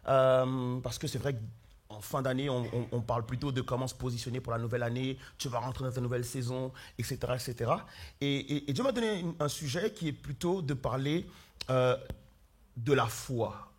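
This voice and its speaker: A man preaching a sermon as pastor, hoarse with flu, earnestly calling out questions to his congregation. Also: mid-range voice, brisk. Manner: earnest, calls out questions to the congregation, many fillers and restarts. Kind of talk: sermon with opening prayer. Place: church hall.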